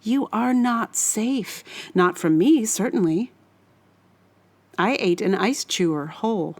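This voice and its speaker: A woman performs a scripted monologue at a markedly slow pace. Her delivery is theatrical and deliberate, with a menacing edge. The pitch sits in the middle range for a woman. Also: expressive, darkish tone. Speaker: writer reading own monologue.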